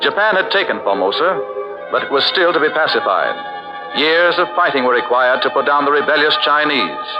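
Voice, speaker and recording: Brief: male radio narrator, formal and declamatory, very lively pitch, dull old broadcast with faint music. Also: brisk.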